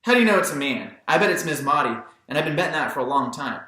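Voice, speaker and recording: A pert young girl from a storybook, acted out by a man, eager and stubborn. The voice is lifted and bright, with blunt folksy phrasing, in a very echoey room. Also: a little high, lively intonation, fast talker, pitched above the performer's own voice. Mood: insistent.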